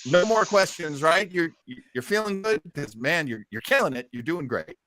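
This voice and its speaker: Animated, bright-voiced middle-aged man hosting. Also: a little high, moderate pace, dry recording, poor audio. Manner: animated, theatrical, teasing. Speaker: host of an old-time radio listening show. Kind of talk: casual panel chat and show introduction.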